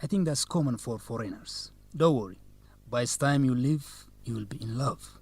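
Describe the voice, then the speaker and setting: A man playing a confident, teasing young law student in a radio drama, courteous and measured in his delivery. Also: medium pitch, darkish tone, slightly rough. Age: young adult. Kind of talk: educational radio drama acting.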